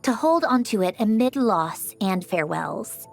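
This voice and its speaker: An actress voicing a composed, refined young woman in a game, gently haughty and reflective, with formal, measured, eloquent diction. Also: mid-range voice, lively intonation, vocal fry, faint music behind. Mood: wistful, earnest. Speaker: voiced game character. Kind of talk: scripted character voice lines.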